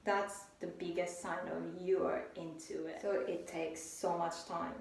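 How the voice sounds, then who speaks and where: A young adult woman from Japan, speaking English with a Japanese accent, giving a casual, halting language lesson as a tutor. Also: lowish voice, level tone, vocal fry, distant mic, slight background noise. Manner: unhurried, halting, restarts phrases. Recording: echoey room, voice off-mic.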